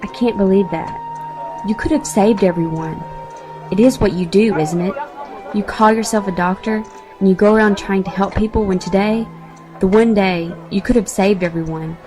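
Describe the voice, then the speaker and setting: A woman's voice: medium pitch, moderate pace, music in the background, crowd noise, close to an everyday voice. Woman playing a hurt, reproachful companion. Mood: angry, hurt. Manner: emotional, reproachful. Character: hurt, accusing female companion of a time traveller. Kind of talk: audio drama acting.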